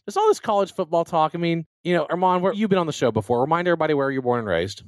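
A man's voice: a little high, very fast, no echo, clean studio sound. An American man hosting a show with casual sports banter, talking emphatically and repeating his phrases for effect. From Louisiana and Texas, United States. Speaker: talk show host.

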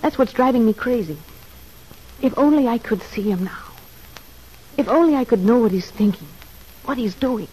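Presidiária apaixonada, voz velada e suave. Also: female voice, on the high side, lively intonation, moderate pace, pauses often, dark tone, breathy edge, poor audio. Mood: anguished longing. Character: anxious lovesick woman prisoner yearning for a man. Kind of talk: old-time radio soap acting.

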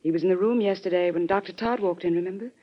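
An actress playing an earnest, deferential presidential secretary in an old radio drama. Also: female voice, fairly low pitch, fast, close to an everyday voice. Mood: dutiful, concerned. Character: dutiful, concerned female presidential secretary. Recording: dull, band-limited vintage radio recording.

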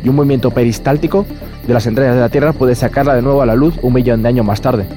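A man's voice: medium pitch, fairly even intonation, quick pace, music in the background, close to an everyday voice. An actor playing an earnest explorer-scientist who explains his findings in a horror audio drama. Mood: pensive, explanatory.

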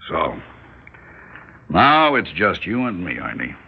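A wry older shopkeeper from a vintage radio drama. His low voice moves in very lively swings as he needles calmly in Yiddish-inflected phrases. The sound is muffled. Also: slow pace, creaky, a little hiss or hum, full character voice. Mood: calm, needling. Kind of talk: classic radio drama acting. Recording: muffled old radio broadcast.

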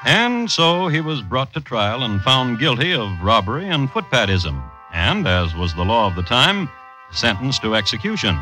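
An actor playing a wry crime storyteller, narrating a vintage radio drama in a dry, deliberate, theatrical manner. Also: male voice, mid-range voice, natural voice, low-quality recording. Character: wry, measured male storyteller of true crime tales. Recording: vintage radio recording with music.

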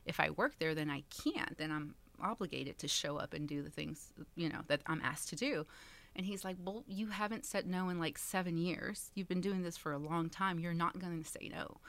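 Woman in her 30s, low voice, from texas, chatty guest, laughs a lot. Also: moderate pace, a bit bright. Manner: chatty, laughs often, many fillers. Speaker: community center director. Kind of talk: casual interview chat.

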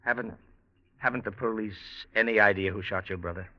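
A man's voice: fairly low pitch, fairly even intonation, a little fast, lightly acted. An actor playing a young American businessman and scheming suitor in an old-time radio drama, persuasive and self-assured. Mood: sympathetic. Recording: muffled vintage radio with music.

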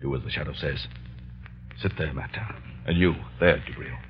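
An actor playing an earnest, authoritative doctor, a man who speaks gravely and urgently in an old-time radio drama. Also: a bass voice, steady tone, normal speed, a little hiss or hum. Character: earnest, authoritative male doctor, an escaped ex-convict. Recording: dull vintage radio recording.

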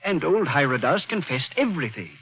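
An actor playing a matter-of-fact jail visitor with a husky voice in a 1940s radio drama. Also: male voice, a little high, sing-song, fast talker, a bit bright, heavily acted. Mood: grave, explanatory. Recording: dull 1940s radio broadcast.

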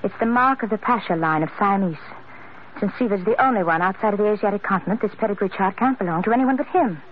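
A woman's voice: mid-range voice, fast talker, lightly acted. An actress playing an anxious, pleading young woman who speaks earnestly and dramatically, in old-time radio acting style. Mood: earnest, insistent. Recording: tinny, noisy old radio recording.

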